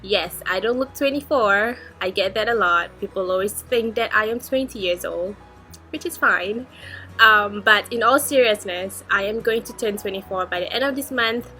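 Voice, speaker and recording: A woman's voice: mid-range voice, normal speed, sharp tone, faint music behind. A woman in her twenties chatting in a casual Q&A vlog, upbeat and chatty with frequent giggles. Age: 20s. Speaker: book vlogger.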